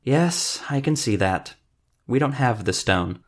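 A man's voice: mid-range voice, animated, takes it slowly. A man voicing a defensive, indignant halfling hired killer in a fantasy audiobook, blunt and coarse. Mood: resigned, defensive.

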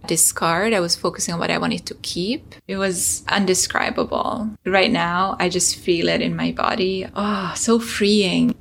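A woman's voice: on the low side, gravelly, a bit bright, a little hiss or hum. A woman from Hungary, a startup founder, telling an earnest personal story as a podcast guest.

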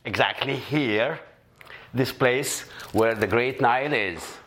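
A man's voice: mid-range voice, takes it slowly, a bit bright. A man from Egypt telling his faith story in a video testimony, hesitant and searching for words. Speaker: church member giving testimony.

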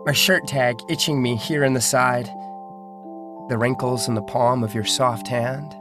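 A man's voice: medium pitch, fairly even intonation, unhurried, over music, lightly acted. An actor playing an earnest male journalist and affectionate husband who narrates a theatrical audio drama. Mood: playful, tender.

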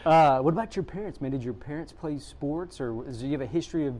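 A man's voice: on the high side, fast talker, a crowd in the background. Man, podcast host, casual interview, friendly. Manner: friendly, says "man" often.

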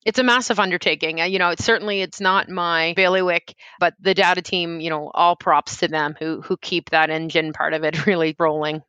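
A woman's voice: fairly low pitch, fairly even intonation, a little fast, few pauses, pushed and bright, clear, resonant tone, no room sound, lo-fi sound. A woman representing a helpline, answering interview questions in an earnest, explanatory way with frequent "you know" fillers.

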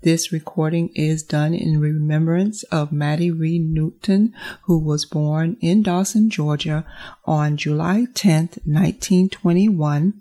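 A woman's voice: sits low, dark tone. A woman reading a scripted closing announcement for an audio recording.

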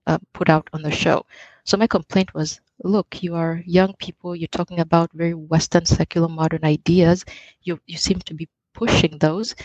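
A woman's voice: fairly low pitch, a little mellow, no room sound. A woman from Uganda calling in to a live online show, explaining her own project. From Uganda, East Africa. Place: online video call. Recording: dull, lo-fi online call.

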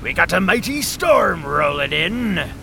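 A man voicing a gruff old pirate captain who bellows hammy sea-dog orders. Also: on the high side, sing-song, measured pace, bright, forceful tone, a little hiss or hum, full character voice. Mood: bellowing.

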